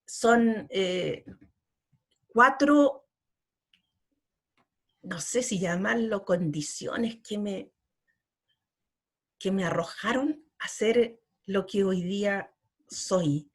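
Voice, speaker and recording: Slow, husky-voiced middle-aged woman. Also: medium pitch. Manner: slow and earnest, with repeated words. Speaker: poet, interview guest. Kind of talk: reflective interview talk.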